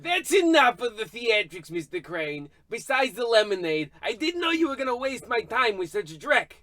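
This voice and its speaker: Pompous, sputtering book reviewer, male actor: scornful, high and sharp, radio comedy play. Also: big pitch swings, normal speed, heavily acted. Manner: haughty, indignant, sputtering. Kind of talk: old radio comedy acting.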